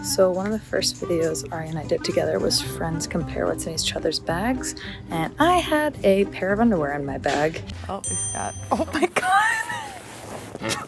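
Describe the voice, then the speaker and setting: A woman's voice: lowish voice, huge pitch swings, moderate pace, music in the background. A young woman chatting casually to camera as an online video host, bubbly, playful and self-mocking. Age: young adult.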